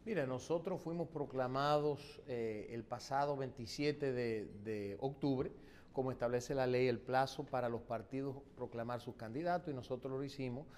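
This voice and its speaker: A man with a Dominican accent answers political interview questions as a candidate, in formal, legalistic, measured wording. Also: medium pitch, darkish tone, a little hiss or hum. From born in the United States to an exiled Dominican family. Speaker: presidential candidate being interviewed.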